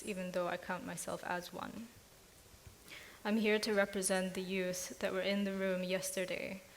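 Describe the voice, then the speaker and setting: A young woman from Singapore addresses a conference panel, earnest and direct. Her voice sits at a middle pitch. A faint hiss runs under the recording. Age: young adult. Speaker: youth panelist.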